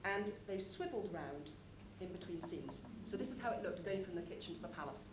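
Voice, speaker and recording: A woman's voice: lowish voice, animated, fairly quick. Woman giving a talk at a conference, chatty explaining style, echoey room, noisy behind her. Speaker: conference presenter. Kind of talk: explanatory presentation about a show. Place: conference room, live presentation. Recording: echoey room with clear background noise.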